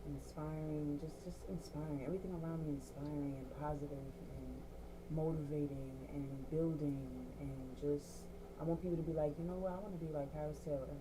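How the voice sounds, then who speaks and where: A Jamaican woman with a low voice talks as a guest on a radio show. She speaks earnestly, circling back over her words for emphasis. Clear background noise hums under her. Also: steady tone, fairly quick. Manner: earnest, repeats words for emphasis, laughs easily. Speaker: guest, entrepreneur and artist. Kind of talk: casual interview chat.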